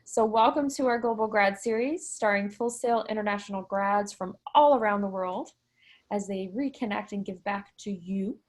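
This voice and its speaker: A woman's voice: medium pitch, moderate pace. A woman moderating an online alumni panel over video call, enthusiastic and encouraging as she introduces guests. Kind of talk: upbeat online panel hosting.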